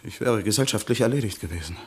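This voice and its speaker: A man playing a proud, cornered lawyer accused of murder in a German crime radio play, with a husky voice and dramatic, defensive delivery. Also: on the low side, lively intonation, fast talker. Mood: desperate, bitter. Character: proud, cornered male lawyer accused of killing his wife.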